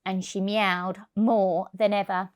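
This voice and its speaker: A woman reading a picture book to young children, expressive and deliberate, stressing key words. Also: mid-range voice. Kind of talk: storybook narration for young children.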